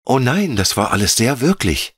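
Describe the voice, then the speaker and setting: A man's voice: medium pitch, big pitch swings, moderate pace, fairly bright tone, slightly rough, dry recording, studio quality, voice pushed up. A man putting on a little girl's earnest voice. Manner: earnest, pleading, childlike. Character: little girl, curious and sensitive.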